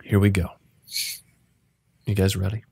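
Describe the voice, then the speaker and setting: A man's voice: low-pitched, completely flat delivery, a little slow, creaky, mellow, breathy edge, dry recording. A man hosting a podcast as game master, mixing casual chat with dramatic interactive fiction narration.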